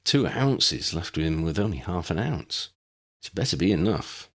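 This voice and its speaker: A man narrating an audiobook of his own fantasy story in a measured, expressive manner. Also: low voice.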